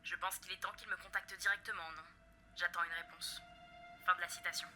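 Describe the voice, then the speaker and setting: A woman's voice: lowish voice, little pitch movement, a little fast, bright, forceful tone, soft background music. A woman reading official document excerpts from a script in a formal, clipped, list-like way.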